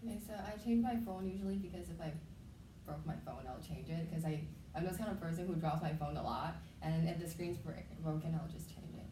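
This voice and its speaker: A woman from Hong Kong with a lowish, mellow voice, giving short, plain answers. She is heard from a distance in an echoey room with faint ambience. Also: fairly quick, slight background noise. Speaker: focus group participant. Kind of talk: casual discussion.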